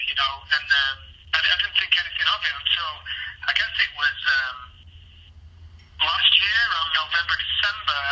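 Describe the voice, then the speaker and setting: A man with a Mancunian accent from Manchester, an actor answering interview questions in a halting way, full of ums and restarts. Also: on the high side, sharp tone, breathy edge, poor audio. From Manchester, England. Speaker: actor guest.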